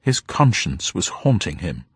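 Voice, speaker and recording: A man's voice: sits low, big pitch swings, unhurried, breathy edge, dry recording, studio quality, full character voice. A man voicing a little old upper-class woman, genteel, formal, grieving and proud, in an audiobook story. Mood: solemn confiding. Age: older. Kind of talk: dramatised audiobook character voice.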